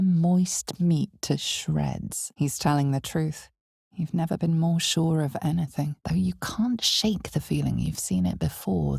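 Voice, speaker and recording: A woman playing an ominous, knowing narrator in a fantasy video game, with measured, theatrical, deliberate phrasing. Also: sits low, creaky, darkish tone, husky, no echo, studio quality, exaggerated voice. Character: female game narrator, ominous, knowing, darkly solemn storyteller. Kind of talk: dramatic second-person fantasy narration.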